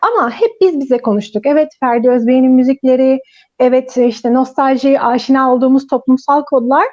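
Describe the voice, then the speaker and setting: A woman's voice: sits high, brisk, darkish tone. A woman whose first language is Turkish, hosting a casual online chat and laughing often. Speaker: host. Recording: dull lo-fi sound.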